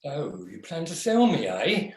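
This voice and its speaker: Pantomime phantom, male performer: pompous dead baronet, peevish, highish voice with swooping delivery. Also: huge pitch swings, unhurried, a little mellow, a little hiss or hum. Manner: pompous, haughty. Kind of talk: theatrical pantomime acting. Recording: echoey video-call audio.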